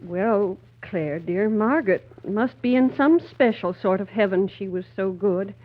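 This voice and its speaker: A woman's voice: mid-range voice, a little slow, close to an everyday voice. Woman playing earnest wife, tinny radio sound. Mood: earnest chiding. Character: earnest, pious wife and mother. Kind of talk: 1930s radio drama acting. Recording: thin, narrow vintage 1930s radio broadcast.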